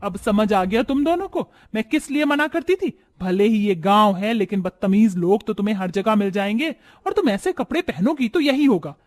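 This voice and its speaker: A man plays a stern older village mother-in-law from a family story. Her high voice scolds with exasperation and reproach. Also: expressive, normal speed, few pauses, darkish tone. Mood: reproachful.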